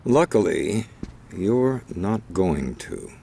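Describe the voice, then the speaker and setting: An actor playing a calm, cultured crime boss in a video game, speaking with dry, condescending sarcasm and unhurried menace. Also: male voice, deep voice, gravelly, breathy edge, heavily acted. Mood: sly, controlled. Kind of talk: video game cutscene acting.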